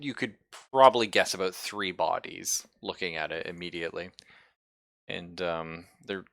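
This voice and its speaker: A man running a tabletop roleplaying game, improvising his descriptions haltingly as he thinks aloud. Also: low voice, gravelly, bright, forceful tone, dry recording, studio quality. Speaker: game master.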